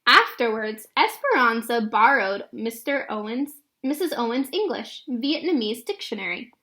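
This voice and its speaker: High-pitched woman reading a children's story. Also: sing-song, moderate pace, pushed and bright. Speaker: read-aloud narrator. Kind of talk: expressive children's picture book read-aloud.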